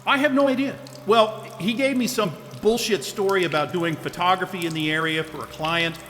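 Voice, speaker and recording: A blunt, swearing man on edge, with a high, bright and forceful voice. His lines ring with a long reverb, and music plays softly behind. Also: moderate pace, a little hiss or hum. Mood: strained. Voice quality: blunt and profane. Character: stressed isolated man, grumpy, blunt, swearing. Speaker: lead character. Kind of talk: audio drama acting.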